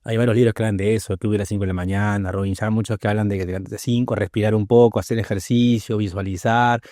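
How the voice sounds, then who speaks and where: A man's voice: on the low side, fairly even intonation, quick pace, dark tone, dry recording, studio quality. A man hosting a podcast interview, asking long, winding questions and often adding "¿no?". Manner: rambling questions with many "¿no?" tags. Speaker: podcast host.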